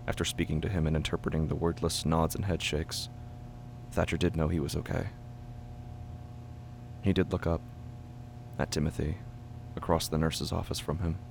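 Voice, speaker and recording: A man reading scripted horror fiction as narrator, measured, deliberate and somber. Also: low voice, level tone, gravelly, darkish tone. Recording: heavy constant background noise and hum.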